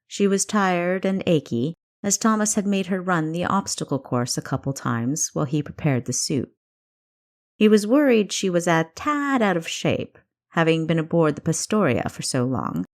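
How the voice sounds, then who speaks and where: A woman's voice: fairly low pitch, animated, normal speed. A woman reading scripted fiction as the narrator of an audiobook. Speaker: author narrating own audiobook.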